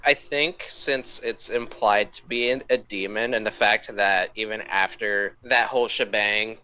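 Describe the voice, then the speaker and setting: A man's voice: fairly low pitch, steady tone, a little slow, pushed and bright, clear, resonant tone, highs cut off. A man co-hosting a podcast in casual, slangy, irreverent chat with some swearing. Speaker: podcast co-host.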